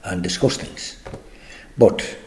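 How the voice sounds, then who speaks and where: An older man with an Irish accent, answering interview questions in a halting, reflective way with many restarts. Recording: echoey room.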